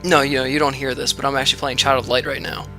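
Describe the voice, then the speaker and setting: A man's voice: medium pitch, little pitch movement, fast, pushed and bright, faint music behind. A man co-hosting a casual podcast chat, upbeat and teasing. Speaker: co-host.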